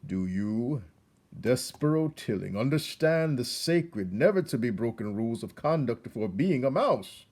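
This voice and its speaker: A stern old head mouse of a storybook council, acted out by a man, declaiming with pompous, indignant authority. The voice is husky and swings up and down with formal emphasis. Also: medium pitch, very animated, a little slow, a little mellow, voice pushed up.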